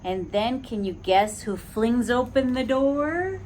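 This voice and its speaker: An animated woman reads a bedtime picture book aloud to small children and keeps asking them questions. Her voice sits fairly high, and she takes the story slowly and gently. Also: slight background noise. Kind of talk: read-along for preschoolers.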